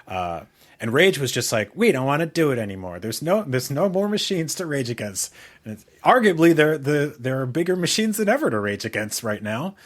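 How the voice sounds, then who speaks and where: A man's voice: medium pitch, big pitch swings, fairly quick. A man co-hosting a podcast, chatting casually in a rambling, enthusiastic way with plenty of swearing. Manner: rambling, enthusiastic, profane storytelling. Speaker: podcast co-host. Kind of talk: casual chat.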